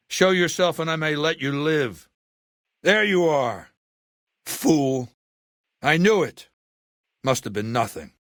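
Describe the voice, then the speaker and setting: A man playing an arrogant, ruthless middle-aged warlord dictator in a video game, speaking in a haughty, deliberate, condescending way. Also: a little high, a bit bright, no room sound, clean studio sound, exaggerated voice. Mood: menacing. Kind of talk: video game voice lines and monologue.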